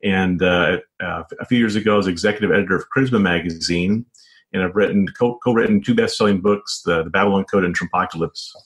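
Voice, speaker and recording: A man's voice: sits low, fast. An American man answering casually as an interview guest, stumbling over and restarting words as he goes. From Oregon, United States. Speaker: interview guest, journalist and author. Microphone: phone call.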